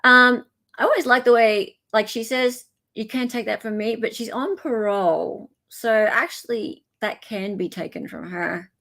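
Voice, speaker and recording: A woman's voice: fairly high pitch, unhurried, sharp tone. An Australian woman hosting a livestream, talking in a casual, chatty way and commenting informally to her viewers. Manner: chatty, informal, greets viewers mid-talk. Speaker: livestream host.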